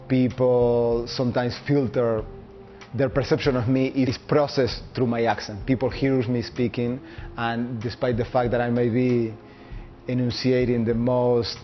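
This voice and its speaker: Deliberate, monotone man in his thirties with a Uruguayan Spanish accent, picking his words with care, muffled lo-fi audio. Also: mid-range voice. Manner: measured and deliberate, searching for words. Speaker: law student. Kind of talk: personal video statement. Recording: muffled lo-fi recording with faint background music.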